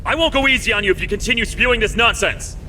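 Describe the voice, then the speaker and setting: A man's voice: high-pitched, fast, a little hiss or hum. A man playing a short-tempered elderly prison warden in a video game, blustering and officious. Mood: angry, incredulous. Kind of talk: animated video game cutscene acting. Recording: prominent music under voice. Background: loud music.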